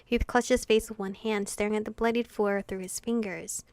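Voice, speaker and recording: A woman hosting a visual novel let's play, reading aloud and reacting in an expressive, sweary, giggly way. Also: mid-range voice, normal speed, no echo. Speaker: gaming video host. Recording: music playing behind the voice. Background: background pop music.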